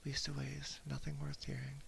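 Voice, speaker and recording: Male ASMR storyteller: close-miked, breathy half-whisper, strong vocal fry, subdued intonation. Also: medium pitch, monotone, measured pace, a bit bright, slight background noise. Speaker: ASMR streamer reading a story aloud. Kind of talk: ASMR reading of a classic horror short story. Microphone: binaural ASMR microphone, very close.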